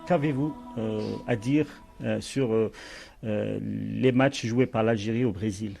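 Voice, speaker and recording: A male interviewer asking drawn-out, hesitant questions. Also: lowish voice, creaky, mellow, faint music behind.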